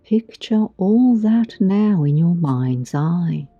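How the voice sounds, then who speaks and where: Female meditation guide, lowish pitch, soothing and unhurried, gentle pauses, dull dry recording over music. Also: animated, no echo. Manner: soothing, gentle, deliberate delivery with long pauses. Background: background music.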